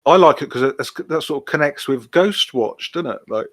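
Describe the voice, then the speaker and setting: A man's voice: a little high, lively intonation, moderate pace, a bit bright, no echo, clean studio sound. A man chatting casually as a podcast co-host, with informal, loosely hesitant phrasing. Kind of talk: casual chat.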